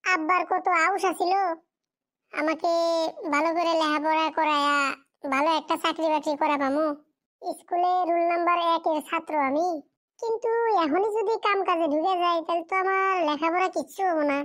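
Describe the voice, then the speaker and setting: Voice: extremely high pitch, steady tone, moderate pace, fairly bright tone. A performer voicing a poor, fatherless schoolboy in a cartoon drama, pleading and plaintive in a rural Bengali dialect.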